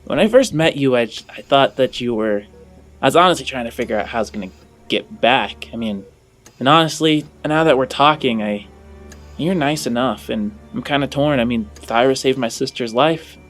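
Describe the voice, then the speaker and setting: A man playing an earnest, conflicted fugitive in a tabletop game, speaking in a halting, pleading way. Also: medium pitch, fairly bright tone, faint music behind, lightly acted. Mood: torn, confiding. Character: earnest, conflicted male fugitive longing for his sister.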